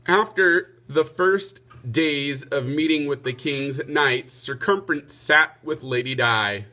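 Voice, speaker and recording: A man's voice: a little high, slow pace, pushed and bright. A man narrating a children's storybook aloud, like a teacher reading to pupils.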